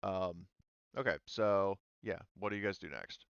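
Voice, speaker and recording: A man's voice: low voice, measured pace, gravelly, clear, resonant tone, dry recording. A man running a casual online tabletop role-playing game as game master, explaining in hesitant pieces. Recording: dull, slightly muffled audio.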